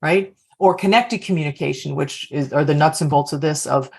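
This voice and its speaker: Woman coach on a video call, lowish voice, reflective, asks the group stuff. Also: normal speed, gravelly, darkish tone, slightly rough. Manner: reflective, poses open questions to the group. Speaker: leadership coach leading a group session. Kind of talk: workshop facilitation.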